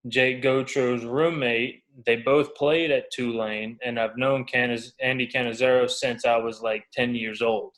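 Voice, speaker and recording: A man in his twenties talks as a guest athlete in a casual interview. His voice is mid-pitched for a man, with a flat, even intonation and relaxed, informal wording. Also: poor audio. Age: 20s. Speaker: baseball pitcher, podcast guest.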